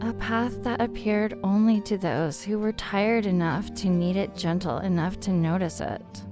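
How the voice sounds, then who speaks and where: A woman narrating a guided sleep story in a calm, soothing, unhurried manner. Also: medium pitch. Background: background music.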